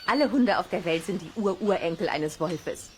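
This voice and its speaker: A woman's voice: on the low side, animated, moderate pace, a little mellow, a little hiss or hum, lightly acted. Woman acting as assured female biologist, faint ambience. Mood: matter-of-fact, assured. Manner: plain, assured explaining. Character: matter-of-fact female wolf biologist. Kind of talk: children's audio play dialogue.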